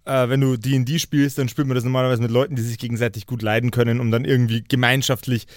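A man chatting casually as a podcast game master, explaining haltingly and often repeating words. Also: mid-range voice, flat delivery, dry recording, studio quality.

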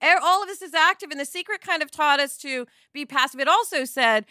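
A woman's voice: sits high, fairly quick, bright, forceful tone, no room sound, studio quality. A woman co-hosting a podcast in casual conversation, opinionated and emphatic, with some swearing. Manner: opinionated, emphatic, uses profanity. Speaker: podcast co-host.

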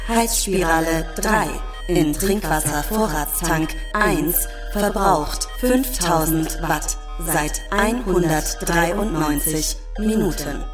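A woman voicing a female base computer in a radio play, reading warnings in flat, measured announcements. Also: on the low side.

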